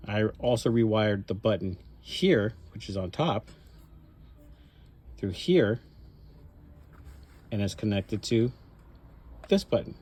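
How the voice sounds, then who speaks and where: Low-voiced man casually explaining a hobby build. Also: takes it slowly, pauses often, darkish tone, breathy edge. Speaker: hobbyist presenter showing a cosplay prop mod. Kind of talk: casual show-and-tell explanation.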